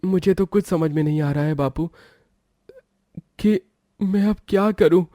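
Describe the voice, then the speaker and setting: A man's voice: sits high, a little slow, warm tone, no echo. Humble cartoon father and labourer by a male actor: polite, earnest, dry studio sound. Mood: distressed. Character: humble, dutiful mill worker, husband and father.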